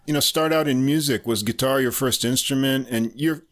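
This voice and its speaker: An American man hosting a podcast, asking questions in a relaxed, friendly way with plenty of fillers. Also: mid-range voice, brisk. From United States. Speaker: podcast host. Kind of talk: casual interview talk.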